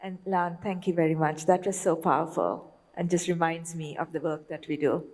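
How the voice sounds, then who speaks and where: A woman from Sri Lanka addresses a panel audience in a composed, matter-of-fact way. Her voice sits a little low for a woman. The sound rings out in a large reverberant hall. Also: fairly even intonation, normal speed, a little mellow. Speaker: nonprofit leader on a panel.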